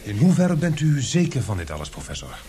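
A man's voice: mid-range voice, huge pitch swings, fairly quick, warm tone, a little hiss or hum. An actor in a radio drama playing a polite, hesitant male newspaper reporter who questions deferentially.

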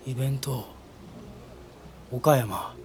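A man's voice: medium pitch, fairly even intonation, very slow, slight background noise. A man playing a confused, surly eighteen-year-old student in a radio drama, blunt and slangy. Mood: bewildered.

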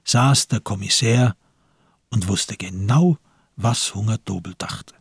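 A husky male narrator reads literary radio drama. His delivery is slow, grave and deliberate, in a lowish voice recorded close and dry. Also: no room sound.

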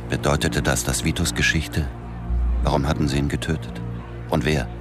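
A man's voice: extremely low pitch, fairly even intonation, normal speed. An actor playing a grieving, hard-drinking man who narrates a radio thriller in terse, clipped inner monologue. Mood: stunned, grieving. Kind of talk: radio play first-person narration. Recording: loud music bed.

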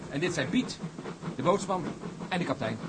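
An actor playing an eager, polite young sailor lad in a period drama. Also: male voice, a little high, animated, a little fast, natural voice. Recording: noisy drama soundtrack with ambience.

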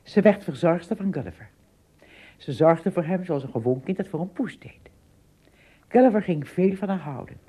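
A woman narrating an adventure tale in a radio play, with expressive, dramatic phrasing and a husky, breathy voice. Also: fairly low pitch, moderate pace. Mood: fond storytelling. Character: female storyteller of an adventure tale.

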